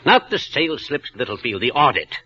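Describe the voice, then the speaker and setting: An actor playing a stern, pompous, irascible office boss in old radio drama style, curt and scolding, on a muffled vintage broadcast. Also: male voice, mid-range voice, very animated, a little fast, bright, forceful tone, husky, exaggerated voice. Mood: brusque, stern. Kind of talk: 1940s radio drama acting.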